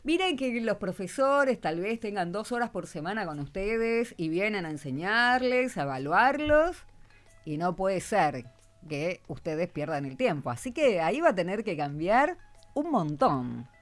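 A woman's voice: medium pitch, very animated, a little slow, soft background music. A woman with an Argentine accent interviewing on the radio in an informal, teacherly way, often prompting with questions. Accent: Argentine (Rioplatense). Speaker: radio host interviewing schoolchildren.

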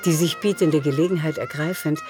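Female narrator for literary audio drama, low-to-middle register, scripted storytelling, music underneath. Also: steady tone, brisk. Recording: music behind the voice.

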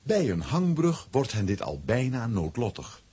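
A man narrating a children's adventure audio play as an expressive storyteller, with a rough, husky voice. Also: mid-range voice, a little slow, heavily acted. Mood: storytelling.

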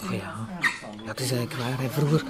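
A woman's voice: rumbling low, fast talker, music in the background. An actress with a Flemish accent playing a teasing, level-headed wife and mother in an audio drama. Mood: calm. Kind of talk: naturalistic radio drama dialogue.

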